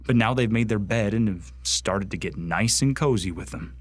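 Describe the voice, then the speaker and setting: A man's voice: lowish voice, normal speed, no echo, lightly acted. A young man acting a bitter, bigoted Martian recruit in a radio drama, ranting with contempt. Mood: contemptuous. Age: young adult. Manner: hateful, contemptuous ranting monologue.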